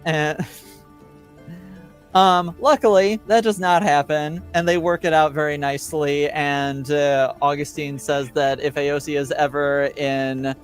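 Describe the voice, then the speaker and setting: A man acting as game master, casually recapping a tabletop roleplaying story in a halting, drawn-out way. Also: on the high side, steady tone, faint music behind.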